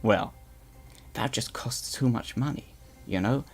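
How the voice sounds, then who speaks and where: A man's voice: medium pitch, a little slow, breathy edge. A man voicing a boastful male Slig guard turned propaganda newscaster, with a weird put-on accent and a rambling, stammering way of talking. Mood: blustery. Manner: blustery, rambling with stammered restarts. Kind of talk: in-character live stream chat roleplay.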